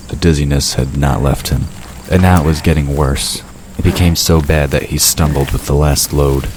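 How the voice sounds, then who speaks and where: A man narrating a scripted fantasy audio drama. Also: a bass voice. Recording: noisy ambient sound effects behind.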